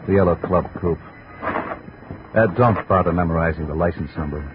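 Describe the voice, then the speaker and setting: Actor as a very deep-voiced 1950s radio gunman. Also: moderate pace, close to an everyday voice. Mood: quietly menacing. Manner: slangy, commanding, casually threatening. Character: shady gunman in a bar, coolly menacing, talkative. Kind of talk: 1950s radio detective drama acting. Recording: muffled, noisy 1950s radio recording.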